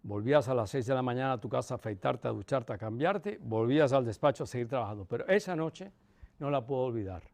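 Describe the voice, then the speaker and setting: A man in his sixties with a Cuban accent softened by Castilian Spanish, reminiscing in an interview in a measured way, with pauses and emphatic repetition. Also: medium pitch, lively intonation, vocal fry. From Cuba. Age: 60s, about 64. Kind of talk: reflective personal reminiscence.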